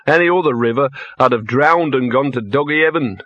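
Guy doing a drunk cynical little talking dog for an audiobook, hoarse n slurry, grumbles on and on, muffled sound. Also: mid-range voice, brisk, a bit bright, no echo, pitched above the performer's own voice, natural voice. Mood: maudlin self-pity. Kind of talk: comic fantasy audiobook character.

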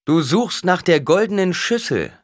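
Man voicing a stern high-pitched oracle priestess. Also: animated, unhurried, no room sound, clean studio sound. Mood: sharp, scornful. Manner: solemn intoning with a sharp edge. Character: stern, cryptic prophetess intoning oracles.

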